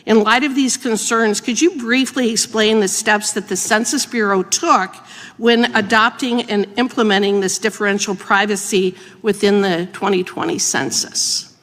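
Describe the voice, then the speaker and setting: A woman's voice: mid-range voice, steady tone, normal speed, a bit bright. An American woman speaking formally as a politician questioning witnesses at a hearing. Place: large indoor hearing room. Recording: reverberant hearing room.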